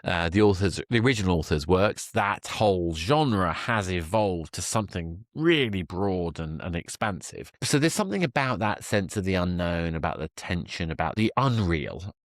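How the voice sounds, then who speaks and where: Male podcast host, low-pitched and enthusiastic, affirming conversational delivery, dry close sound. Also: lively intonation, normal speed, few pauses, no room sound. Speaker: podcast host interviewing a guest.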